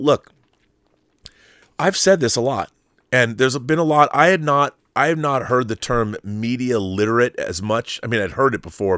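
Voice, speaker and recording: A middle-aged man hosting a casual livestream, talking emphatically and haltingly, often repeating words and rephrasing as he thinks aloud. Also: on the low side, a bit bright, no room sound, studio quality. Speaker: livestream host. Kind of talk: casual live chat answering viewer messages.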